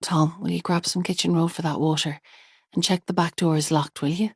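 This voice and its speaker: A woman voicing a patient young mother who speaks gently and reassuringly. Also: on the low side, steady tone, fairly quick, husky, no room sound, studio quality. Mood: practical.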